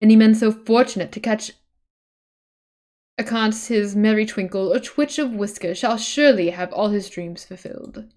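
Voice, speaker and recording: A woman's voice: medium pitch, normal speed, a little mellow. A woman streamer reading a webcomic aloud, mixing dramatic narration with casual, chatty asides.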